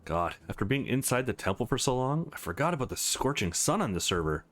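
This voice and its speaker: A man's voice: medium pitch, fairly quick, faint music behind. A man narrating a visual novel let's play, reading it out expressively. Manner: expressive, acts out the characters.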